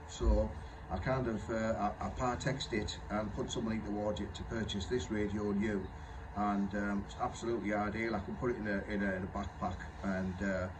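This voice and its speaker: A man in his fifties chatting casually over amateur radio, explaining things in a halting way. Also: lowish voice, background noise. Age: 50s. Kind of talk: casual radio contact chat.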